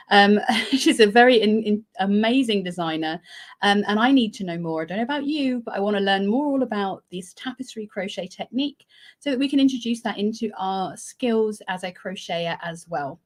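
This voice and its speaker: A woman's voice: mid-range voice, brisk. A woman with a British accent hosting a live crochet stream, chatty and effusive, on a video call. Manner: chatty and effusive, with fillers and laughs. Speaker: host of a live crochet show. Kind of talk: casual live-stream chat.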